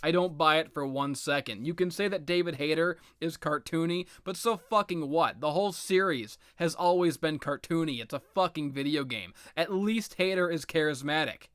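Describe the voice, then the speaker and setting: Exasperated man ranting, higher-pitched voice, dry recording. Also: normal speed, few pauses, fairly bright tone, clear, resonant tone, no room sound. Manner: exasperated, blunt, heavily swearing. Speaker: video game commentator. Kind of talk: unscripted opinionated rant about video games.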